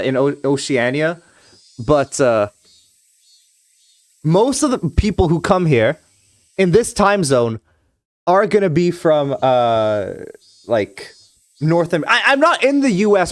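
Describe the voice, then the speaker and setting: A man's voice: on the high side, no echo. A young man from Trinidad and Tobago chatting with his stream viewers in a rambling way, full of restarts, now and then reading a game's text aloud. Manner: rambling, frequent restarts and hedging fillers. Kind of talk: casual live-stream chat with viewers, reading a visual novel aloud.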